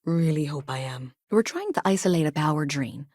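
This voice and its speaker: Anxious, earnest engineer, lowish dry voice. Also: female voice, animated, fairly quick, a little mellow, no room sound. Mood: wry, frustrated. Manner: conversational, hesitant, wry asides. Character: anxious, earnest, chatty woman engineer in sci-fi colony. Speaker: video game character. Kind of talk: naturalistic video game dialogue acting.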